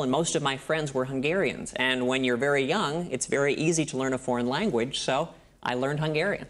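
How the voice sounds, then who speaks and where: A man from Minnesota with an American accent, chatting as a studio guest in a friendly, steady storytelling way. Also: a little high. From Minnesota, United States. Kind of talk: casual interview chat.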